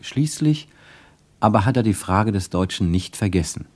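An actor playing a reflective, literary narrator in a radio play, speaking deliberately. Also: male voice, lowish voice, lively intonation, darkish tone. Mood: observant. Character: observant, literary male narrator of a debate.

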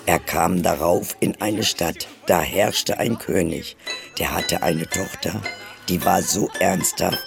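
Deep, very gravelly male storyteller over music. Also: steady tone, slow pace. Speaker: fairy-tale narrator. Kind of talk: scripted fairy-tale reading. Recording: music behind the voice.

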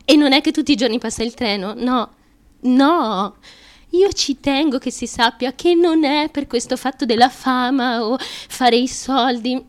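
An actress playing a small, fragile, fiercely driven young woman in a theatrical monologue, childlike, halting and insistent, with repetitive phrasing. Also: high-pitched. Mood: feverish, earnest. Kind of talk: theatrical stream-of-consciousness radio monologue.

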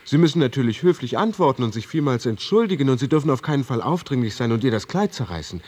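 A condemned man in a radio play, a self-styled ladies' man. His voice is husky and swings widely in pitch. He speaks in polite, elaborate phrases, selling his schemes with earnest boastfulness. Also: sing-song, quick pace, darkish tone. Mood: earnest, boastful, persuasive. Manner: formal, elaborate phrasing delivered with earnest salesmanship. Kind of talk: German radio drama acting.

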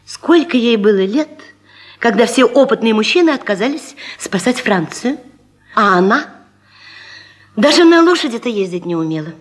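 A woman presenter with a husky voice, delivering a humorous, theatrical radio monologue with ironic, rhetorical emphasis. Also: fairly high pitch, animated, moderate pace. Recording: 1970s radio recording, faint music.

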